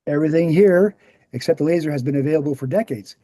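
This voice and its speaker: Man, slightly high pitch, soft dark tone, dry sound, assured explainer, scripted drama acted. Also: quick pace, dry recording, natural voice. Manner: didactic, assured. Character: Gary, confident explanatory man debunking assassination myths. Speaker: actor in a screenplay table read. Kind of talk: scripted dialogue, lecturing explanation.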